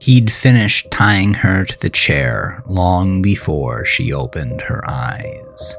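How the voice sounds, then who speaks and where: A male storyteller with a very deep, gravelly voice narrates horror fiction. He is ominous and deliberate, taking his time over each phrase while music plays underneath.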